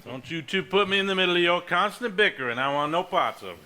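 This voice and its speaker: A man playing an agreeable, earnest younger brother in a Southern stage drama, animated and insistent. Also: high-pitched, fast talker, exaggerated voice. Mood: easygoing. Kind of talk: live stage drama acting.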